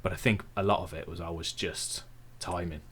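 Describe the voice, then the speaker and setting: A man's voice: low voice, a little fast, husky. A young man with an English Midlands accent, chatting casually and easily as an interview guest. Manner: relaxed, chatty, self-deprecating. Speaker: tech YouTuber guest.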